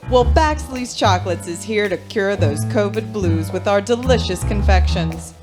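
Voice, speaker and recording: A woman acts as announcer for a radio commercial. Her voice sits mid-range, the read is scripted, and music plays clearly behind it. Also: medium pitch, lively intonation, normal speed. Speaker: advertisement announcer.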